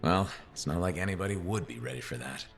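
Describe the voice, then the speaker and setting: Steady wry pilot hero, really low voice, dry delivery, game cutscene w faint music. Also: male voice, fast talker. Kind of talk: cinematic game drama acting.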